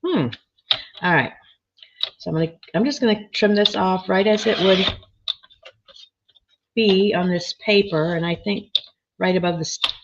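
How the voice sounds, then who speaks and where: A woman with a slightly froggy, hoarse voice, chatting casually as she works on a craft project and reads viewer comments. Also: fairly low pitch. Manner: chatty, thinking aloud while working, reading comments. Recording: dull lo-fi sound.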